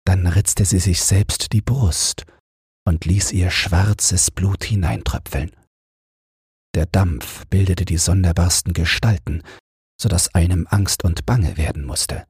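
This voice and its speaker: A man reading a fairy tale as a bedtime audiobook in a measured, soothing storytelling manner. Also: sits low, a little mellow, slightly rough, dry recording, clean studio sound. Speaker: audiobook narrator.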